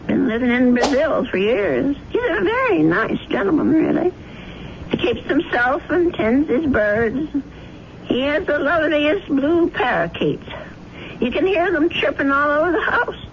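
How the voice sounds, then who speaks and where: A high, lively old granny, talkative and fussy, radio play, dull sound with hiss. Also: female voice, measured pace, creaky, heavily acted. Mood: chatty. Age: older. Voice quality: fluttery, talkative, easily flustered. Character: elderly widowed grandmother, chatty, kindly, fussy. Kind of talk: 1970s radio drama acting.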